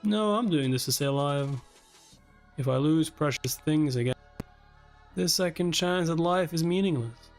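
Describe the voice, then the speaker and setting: A man with a fairly high voice does a casual live read-along for viewers. He talks informally and swears offhandedly. Soft music plays behind him. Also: moderate pace. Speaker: livestreamer reading a webtoon aloud and reacting. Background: background music.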